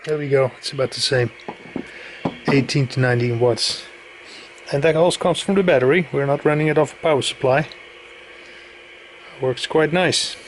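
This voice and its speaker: A man giving a casual, unscripted hands-on equipment demonstration, halting and matter-of-fact as he goes. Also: mid-range voice. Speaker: radio hobbyist demonstrating equipment. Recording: faint background noise.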